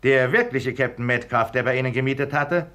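An actor playing a composed, reassuring police inspector in a 1950s radio play, measured and matter-of-fact. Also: male voice, mid-range voice, pushed and bright, lightly acted. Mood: matter-of-fact explaining. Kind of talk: 1950s German-language radio play acting.

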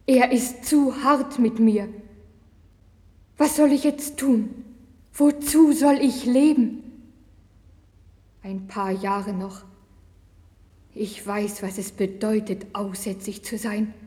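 Actress plays desperate young female leprosy sufferer, dramatic and anguished, subdued dark tone, reverberant vintage record. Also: a little high, fairly even intonation, slow, pauses often. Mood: despairing. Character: despairing young Chinese woman with leprosy, losing her faith. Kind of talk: religious audio drama acting. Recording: long hall reverb, vintage vinyl record.

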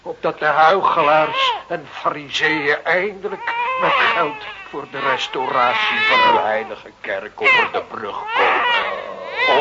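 A man playing an exasperated but soft-hearted Italian village priest in a Dutch radio drama, declaiming solemnly and grumbling. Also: on the high side, heavily acted. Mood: pleading, indignant. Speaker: actor in a radio play. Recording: dull, noisy old radio recording.

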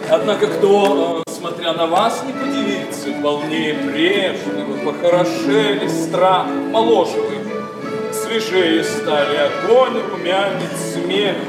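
A witty, sceptical young gentleman of the stage. His high male voice moves slowly through the verse with dry mockery, echoing in a hall over loud music. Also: recorded from a distance. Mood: courteous irony. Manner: dry, mocking, declamatory. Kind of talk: theatrical radio play acting in verse.

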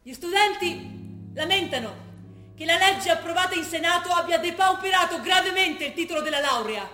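Earnest young Trentino woman, high bright voice. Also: expressive, normal speed, roomy sound, faint music behind, full character voice. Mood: declamatory, insistent. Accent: Trentino, northern Italian. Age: young adult. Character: determined, studious young female sociology student, impatient daughter. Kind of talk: stage acting, from dialect talk to declaimed reading.

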